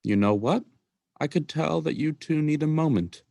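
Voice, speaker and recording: A man's voice: fairly high pitch, animated, moderate pace, gravelly, dark tone, no room sound, clean studio sound. A man playing a slightly sleazy salesman uncle in a student radio play, casual and defensive. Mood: indignant, evasive. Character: lightly sleazy middle-aged stolen-watch salesman uncle.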